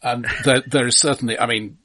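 A man's voice: mid-range voice, fairly even intonation, pushed and bright. A middle-aged British man in a casual film discussion, often restarting his words as he talks. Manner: halting, repeated word starts. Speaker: film podcast host.

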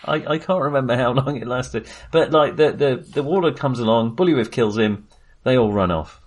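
A man's voice: mid-range voice, moderate pace. A man co-hosting a film podcast in casual discussion, talking in a hesitant, rambling way and often correcting himself.

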